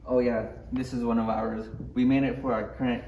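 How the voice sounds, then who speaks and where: A man playing a polite, halting alien cloner with a salesman's pride, in a parody table read. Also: medium pitch, flat delivery, highs cut off, natural voice. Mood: polite, eager. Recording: echoey room, distant mic, faint noise.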